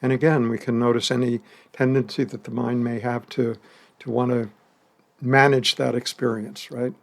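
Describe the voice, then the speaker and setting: Man, meditation teacher, gravelly, slow and gentle, long pauses, soft laughs. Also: medium pitch, flat delivery. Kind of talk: guided meditation.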